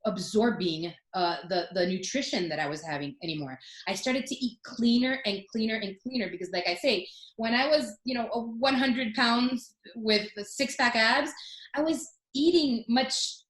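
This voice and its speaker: A woman's voice: on the high side, moderate pace, a bit bright, reverberant. A woman in her forties telling her story as a guest in a casual interview, chatty, with many fillers and restarts. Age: 40s.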